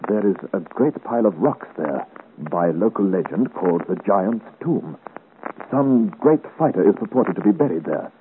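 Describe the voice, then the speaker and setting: A man's voice: on the low side, lively intonation, moderate pace, lightly acted. An actor playing an earnest, gallant young swordsman in a 1950s radio serial, speaking in formal, courtly period diction. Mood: impassioned. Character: earnest, gallant young swordsman of great size, seeking his father's name. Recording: muffled, hissy old radio serial recording.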